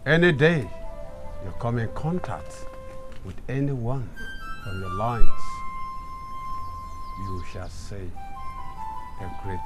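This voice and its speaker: A grim male seer, played by a man, pronouncing doom in a low, unhurried voice. His warnings come slowly and solemnly, with loud music swelling beneath them. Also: poor audio. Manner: slow, solemn, ominous pronouncements.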